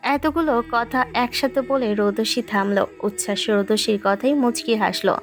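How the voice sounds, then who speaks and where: A woman narrates a romantic audio story in a fairly high voice. Her delivery is scripted storytelling, with music playing softly behind her. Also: normal speed. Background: background music.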